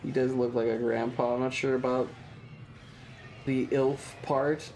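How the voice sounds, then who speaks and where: A man's voice: mid-range voice, level tone, a little slow, darkish tone, a little hiss or hum, low-quality recording. A man streaming a video game with casual live commentary, in a playful, sarcastic and theatrical manner. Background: video game ambience.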